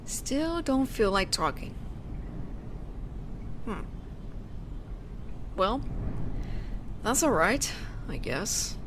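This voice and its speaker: A woman playing a teenage delinquent girl in an intimate audio roleplay, casual and teasing with a softly caring side and thoughtful pauses. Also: mid-range voice, sing-song, noisy background. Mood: gently probing. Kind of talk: slow intimate audio roleplay addressed to the listener.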